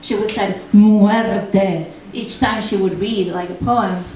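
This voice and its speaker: A woman's voice: medium pitch, steady tone, slow pace, a little mellow. A young woman from Argentina with a thick Argentinian Spanish accent, a native Spanish speaker talking casually on a translation panel and reading a poem aloud, with many ums and restarts. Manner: chatty, frequent ums and restarts. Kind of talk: panel talk with poetry reading. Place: festival event room. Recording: distant echoey room, faint noise.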